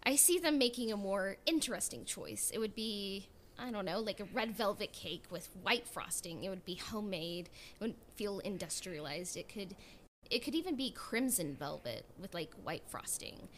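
A woman's voice: medium pitch, expressive, normal speed, a little hiss or hum. A woman co-hosting a podcast, chatting casually in a playful, opinionated way. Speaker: podcast co-host. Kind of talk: casual chat.

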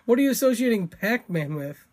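A man co-hosting a casual podcast chat, laughing often and throwing in quick asides. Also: high-pitched, sing-song, a little mellow. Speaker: podcast co-host.

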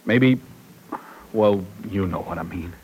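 An actor playing a tired, considerate father with measured, polite speech in an old radio soap opera. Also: low voice, darkish tone, a little hiss or hum, poor audio. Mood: serious, considerate. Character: weary, considerate, well-spoken father.

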